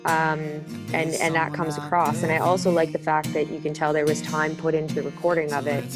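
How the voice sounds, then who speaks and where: A woman with a low voice gives careful critique as a panel judge. Loud music plays behind her. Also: little pitch movement, a little fast.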